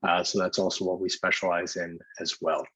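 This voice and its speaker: Guy moderating an online talk, really low voice, kinda husky, talks in long roundabout sentences. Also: flat delivery, brisk, a bit bright, poor audio. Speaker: host of an online discussion. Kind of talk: conversational event moderating.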